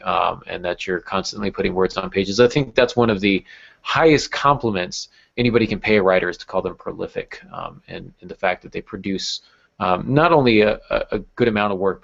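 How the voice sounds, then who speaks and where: Low, gravelly man hosting a podcast. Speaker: podcast host. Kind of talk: casual joking podcast talk. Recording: dull lo-fi podcast audio.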